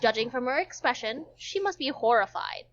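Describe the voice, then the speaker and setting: A woman with a fairly high voice narrates a visual novel as an amateur reader. She now and then trips on a word and goes back over it. The sound is dull and lo-fi. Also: animated, normal speed. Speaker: narrator in a group read-along.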